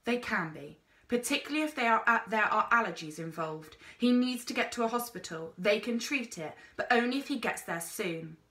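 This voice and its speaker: A woman voicing a gruff, commanding explorer man in a storybook, terse and authoritative. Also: fairly high pitch, expressive, moderate pace, sharp tone. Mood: grave.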